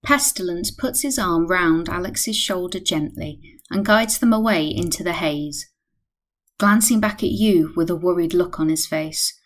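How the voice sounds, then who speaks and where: A woman's voice: on the low side, unhurried. A woman reading scripted narration as the narrator of a radio play.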